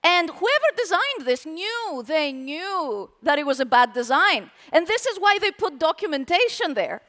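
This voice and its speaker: High-voiced, animated woman on a conference stage. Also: measured pace, big-room sound. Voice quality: very high-pitched voice. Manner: animated, playful, asks the audience questions. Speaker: conference speaker. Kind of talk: live tech conference talk. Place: conference hall with live audience.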